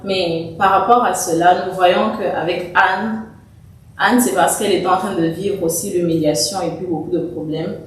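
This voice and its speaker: A woman's voice: lowish voice, fairly even intonation, normal speed. A woman hosting an interview, polite and careful to sum up the point before she puts her question. Her voice is picked up from a distance in a strongly echoing room. A faint hiss runs underneath. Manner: polite, recaps before asking. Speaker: host. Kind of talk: interviewing a guest. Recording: very echoey room, distant mic, faint noise.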